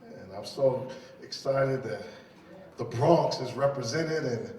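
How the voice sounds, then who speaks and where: A man's voice: mid-range voice, slow, darkish tone. Preaching man in an echoey hall. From New York City. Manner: preacherly, repeats key phrases, addresses the congregation. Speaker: pastor. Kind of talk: sermon. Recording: echoey hall, distant mic.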